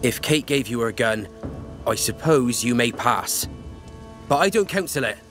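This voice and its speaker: Game bit part: grudging male gatekeeper, unhurried, foreboding, sardonic, medium pitch. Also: lively intonation, fairly bright tone, soft background music. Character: gruff, suspicious male village gatekeeper, dour and grudging. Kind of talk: dramatic game cutscene acting.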